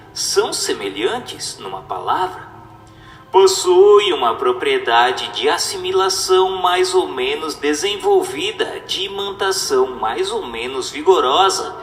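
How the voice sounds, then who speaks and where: Slow-talking guy doing an emphatic preachy dramatic reading, big reverb, music underneath. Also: on the high side, fairly bright tone. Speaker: narrator reading a spiritist text. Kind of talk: dramatized scripted reading, oratorical. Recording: echoey hall reverb with music.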